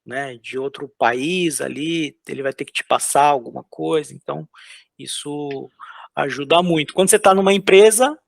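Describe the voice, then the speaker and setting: Gravelly-voiced Brazilian man, casual and halting. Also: fairly high pitch, dry recording, studio quality. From São Paulo, Brazil. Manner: loose, hesitant, lots of filler words and restarts. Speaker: concept artist guest. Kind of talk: casual interview while drawing.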